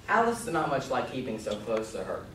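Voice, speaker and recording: A man's voice: mid-range voice, big pitch swings, fairly quick, a bit bright. A man reading scripted storybook narration in a readers theater performance. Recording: echoey room, distant mic, noisy.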